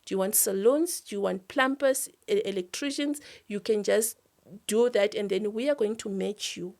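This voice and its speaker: A woman's voice: medium pitch, normal speed, gravelly, a little mellow. A woman from outside South Africa, guest in a business interview, talking earnestly and urgently, often repeating and restarting her words. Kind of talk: interview, passionate appeal.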